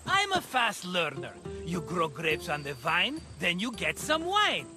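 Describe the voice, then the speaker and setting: An actor playing a scheming, jovial merchant with an effusive, wheedling way of talking in a video game cutscene. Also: male voice, high voice, huge pitch swings, measured pace, over music, heavily acted. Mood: cheerful, evasive. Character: scheming, jovial merchant turned amateur vineyard owner.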